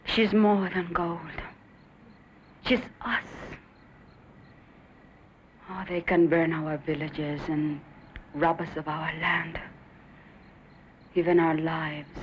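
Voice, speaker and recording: Earnest village woman in a western, really slow and fervent, muffled with some hiss. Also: low-pitched, fairly even intonation. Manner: solemn, pleading, fervent. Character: earnest, devout village woman, pleading and steadfast. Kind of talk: dramatic western acting.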